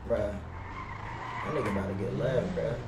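A man's voice: on the low side, normal speed, creaky, distant mic. A young American man streaming a game, chatting casually in brash slang with heavy swearing. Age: young adult. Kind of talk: casual gaming livestream chat with role-play banter. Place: indoor room at streaming setup. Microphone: gaming headset. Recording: roomy, off-mic, faint noise.

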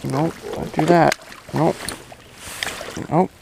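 A relaxed man on a small open boat, speaking slowly and easily as he fishes and coaxes the fish toward the net. His voice sits in a middle register. Faint wind and water noise sit behind him. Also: fairly high pitch, vocal fry. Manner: relaxed, talks to the fish while reeling. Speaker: angler filming his fishing trip. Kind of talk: casual chat while fishing.

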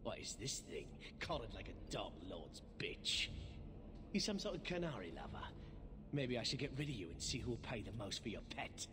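A man's voice: mid-range voice, very animated, normal speed, close to an everyday voice. An actor playing a hostile, sneering male thug leader in a video game, menacing and contemptuous. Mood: sneering threat. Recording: game audio with loud ambience.

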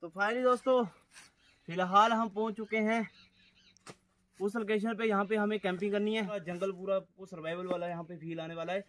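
A man's voice: high voice, steady tone, normal speed, a bit bright. A young man from Himachal Pradesh, chatting casually to his viewers while camping in a grassy field. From Himachal Pradesh, India. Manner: chatty, addresses viewers as friends. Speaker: vlogger. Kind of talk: casual vlog talk to viewers. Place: outdoors in a grassy wooded field. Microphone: camera held at arm's length.

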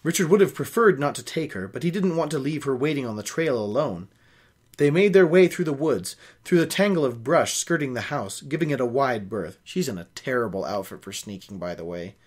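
A man's voice: mid-range voice, lively intonation, fairly quick. A young man hosting a reading show, reading a novel aloud and breaking off into opinionated, nitpicking commentary. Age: young adult. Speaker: host of a book-reading show. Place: small home office, indoors.

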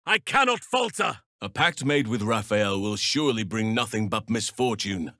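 A man voicing a wise, gentle-hearted druid healer in a video game, speaking in measured, formal, archaic phrasing. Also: on the low side, very animated, no echo, clean studio sound, heavily acted. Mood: urgent battle cry, then solemn, measured.

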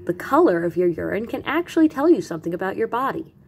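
A woman reading educational material aloud as a teacher, with animated emphasis and carefully separated lists. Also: mid-range voice, quick pace, slight background noise. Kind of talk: expressive educational read-aloud.

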